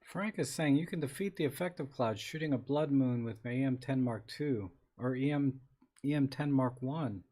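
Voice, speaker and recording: A man hosting a casual live-streamed photography Q&A, reading viewer comments aloud and answering in a rambling, relaxed way with many restarts. His voice is a little scratchy today. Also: medium pitch, normal speed. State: slightly scratchy voice.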